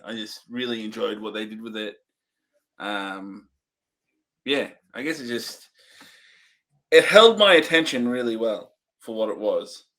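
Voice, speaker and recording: Cohost guy, bright edgy voice, umming through his opinion, laid back chat. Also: mid-range voice. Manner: hesitant, searching for words with ums. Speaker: co-host of a movie review show.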